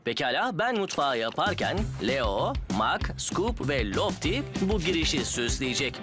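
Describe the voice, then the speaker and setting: Lively cartoon builder foreman over music. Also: a little high, unhurried. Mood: upbeat, kindly. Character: friendly, steady builder foreman leading a machine crew. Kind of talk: Turkish-dubbed children's cartoon acting. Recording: loud music behind the dialogue.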